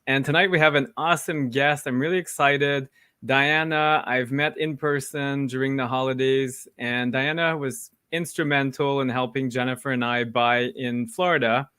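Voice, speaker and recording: A man's voice: medium pitch, fairly even intonation, normal speed, sharp tone, clean tone, no echo, studio quality. A man hosting a casual interview podcast with an enthusiastic manner. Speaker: podcast host.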